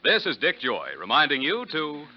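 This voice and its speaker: A man announcing in polished, emphatic 1940s radio style, reading scripted credits and sponsor pitches. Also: sing-song, moderate pace, background noise. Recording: dull 1940s radio recording, some music.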